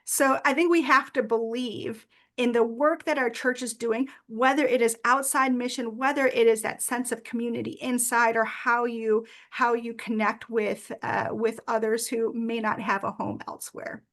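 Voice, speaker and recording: Earnest woman presenter, fairly high voice. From United States. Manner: earnest, warm storytelling with frequent "uh" fillers. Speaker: workshop presenter. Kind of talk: presentation with personal story.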